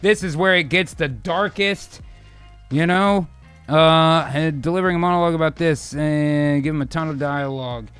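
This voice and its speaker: A man's voice: a little high, normal speed, fairly bright tone, smooth, soft background music. A man hosting and narrating an improvised radio drama, switching between theatrical storytelling and hyped, sweary casual talk. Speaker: host and narrator.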